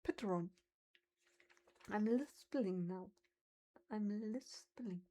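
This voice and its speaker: A woman's voice: mid-range voice, extremely slow, dark tone. A woman with a German accent, a native German speaker, chatting casually on a livestream and musing aloud over how words are pronounced.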